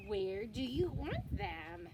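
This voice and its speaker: A woman reading a picture book aloud to children, expressive and guiding a young reader along. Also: high-pitched, slow pace, vocal fry, very noisy.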